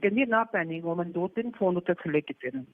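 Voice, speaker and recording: A woman's voice: fairly low pitch, fairly quick, no room sound. A narrow, muffled phone recording with no room sound carries a woman with a Pakistani Punjabi accent. She chats privately and casually, retelling exchanges in quoted speech. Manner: recounts talk by quoting it. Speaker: daughter on a phone call. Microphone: telephone.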